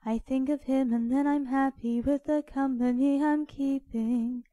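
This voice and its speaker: A woman's voice: high voice, fairly even intonation, unhurried, warm tone, no room sound, soft background music. A woman amateur singer casually singing songs a cappella from memory, without any backing. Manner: casual, informal, unaccompanied singing.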